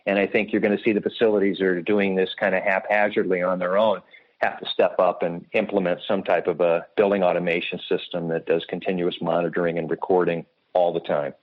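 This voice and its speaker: A man with a fairly low voice speaks as an expert guest in an interview. His explanations are measured and technical, and the sound is thin and narrow, like a telephone connection. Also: little pitch movement. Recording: muffled phone-like line.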